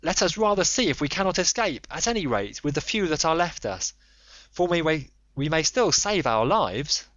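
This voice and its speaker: A man's voice: fairly high pitch, big pitch swings, moderate pace, fairly bright tone, no room sound, dull audio, voice pushed up. A man voicing a frightened, despairing sailor in an audiobook epic, speaking in an earnest, piteous way. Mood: piteous pleading. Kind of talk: audiobook character reading.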